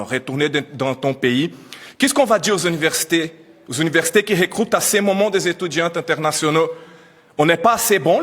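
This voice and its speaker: A man's voice: high voice, fairly bright tone, husky, big-room sound. A man in his thirties, not a native French speaker, giving emotional public testimony, pleading and repeating questions. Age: 30s. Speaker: immigrant worker testifying.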